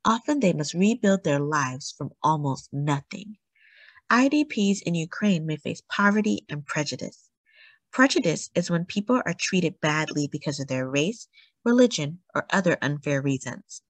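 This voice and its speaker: Lively, breathy woman reading aloud. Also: sits low, no room sound. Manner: slow, careful storybook reading with lively rises on key words. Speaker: children's book reader. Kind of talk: classroom read-aloud.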